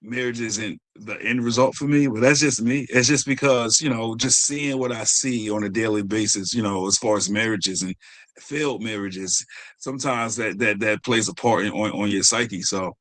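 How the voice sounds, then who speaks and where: A man's voice: on the low side, steady tone, moderate pace, a bit bright, no room sound. A man with an American accent chatting informally and affirmingly as he hosts an interview. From United States. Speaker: podcast host. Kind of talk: casual interview chat.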